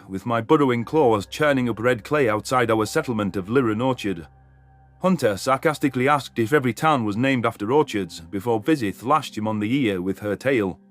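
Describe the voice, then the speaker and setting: A man reading scripted first-person science fiction as a story narrator. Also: medium pitch.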